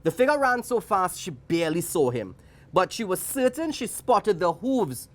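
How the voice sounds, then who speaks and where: Trinidadian woman, children's story reader, highly animated pitch swings, lower-toned voice, playful asides, theatrical delivery. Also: normal speed. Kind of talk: expressive storybook read-aloud with chatty asides to children.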